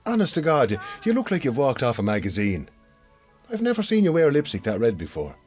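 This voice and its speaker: An actor playing a weary, evasive Irish farmer husband in a naturalistic radio drama, with an Irish accent. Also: male voice, mid-range voice, wildly swooping pitch, brisk, darkish tone, soft background music. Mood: pleasantly surprised.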